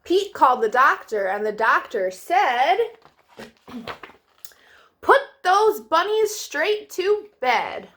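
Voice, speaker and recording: Woman, children's storytime host, fairly high voice, very unhurried, sing-song read-aloud, faint background noise on home video. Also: very animated, a bit bright.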